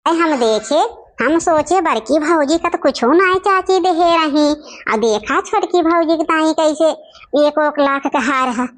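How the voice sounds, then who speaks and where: A woman's voice: high voice, measured pace. A woman with an Awadhi accent voicing a meddling village gossip in a cartoon family comedy, insinuating and stirring quarrels in homely speech. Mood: stirring trouble.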